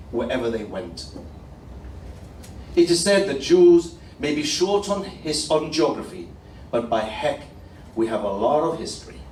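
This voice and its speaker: A man with a head cold and a nasal voice giving a formal, measured prepared address at a public event. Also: fairly high pitch, darkish tone, roomy sound, far from the mic, slight background noise, low-quality recording. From Gibraltar. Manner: slow, measured, declamatory delivery. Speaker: speaker at a community event.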